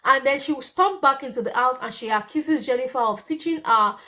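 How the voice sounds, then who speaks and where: A woman's voice: high-pitched, a little fast, bright, forceful tone. A young Nigerian woman whose first language is Yoruba, chatting casually to her viewers and often checking in with "okay?". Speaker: vlogger. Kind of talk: casual storytelling to camera.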